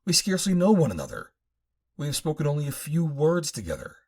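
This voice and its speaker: A man voicing a guarded, doubting young captive woman of Mars in an audiobook, measured and questioning. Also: a little high, huge pitch swings, darkish tone, husky, pitched above the performer's own voice, heavily acted. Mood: doubtful, guarded.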